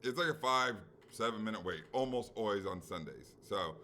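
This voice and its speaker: This is a middle-aged man chatting on a podcast, winding through a long anecdote. His voice has a bright, tense edge, and he jumps into the voices of the people he quotes. He swears freely and keeps checking in with "right?". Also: lowish voice, lively intonation, normal speed, slight background noise. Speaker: podcast co-host. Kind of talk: casual chat, long anecdote.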